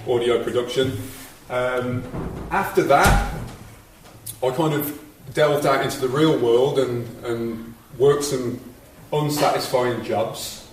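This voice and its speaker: A man giving a candid, relaxed talk as guest lecturer about his own career, with frequent pauses and ums. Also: medium pitch, a little hiss or hum. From England. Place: college hall. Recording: echoey hall from far off.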